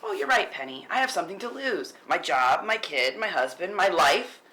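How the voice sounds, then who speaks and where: A woman's voice: fairly low pitch, animated, normal speed, pushed and bright. Woman acting a tense, frantic, foul-mouthed mother. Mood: bitter, scolding. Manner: tense, exasperated, sweary. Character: frantic, foul-mouthed working mother and wife, angry, anxious. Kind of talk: film script table read. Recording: noisy live room recording with clicks.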